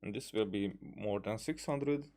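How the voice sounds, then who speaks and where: A man's voice: lowish voice, normal speed, noisy background. A man giving casual let's play commentary on a game, muttering to himself and exclaiming as he goes. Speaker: gamer recording a playthrough.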